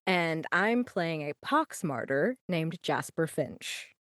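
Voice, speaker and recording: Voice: medium pitch, expressive, slow, fairly bright tone, no room sound, clean studio sound. A podcast player giving a casual self-introduction.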